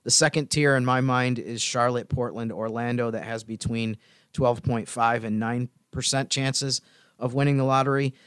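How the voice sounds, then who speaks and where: A man's voice: mid-range voice, fairly even intonation, normal speed, a bit bright, smooth, no room sound. Man co-hosting sports talk, close dry sound. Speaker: sports podcast co-host.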